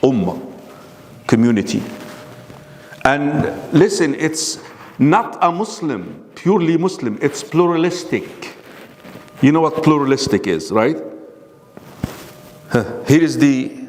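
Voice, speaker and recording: Male religious teacher, mid-pitched voice, lectures by firing questions at the room, long hall reverb. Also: very animated, slow pace, pauses often, a little mellow. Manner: keeps quizzing the audience with questions. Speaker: Islamic lecturer. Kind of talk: classroom lecture.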